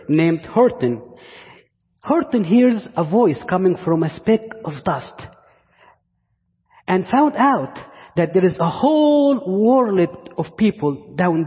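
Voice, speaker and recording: A man from Egypt with an Egyptian accent preaches a sermon to a congregation as a guest, deliberately, pausing and repeating phrases for emphasis. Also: high voice. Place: large indoor church space. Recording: muffled, reverberant church hall sound.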